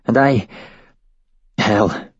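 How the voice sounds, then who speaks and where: Shaken young ex-hostage woman, read by a man; husky, raised voice, dry dull recording. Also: fairly low pitch, very slow, no room sound, pitched above the performer's own voice, heavily acted. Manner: shaky, tumbling recollection.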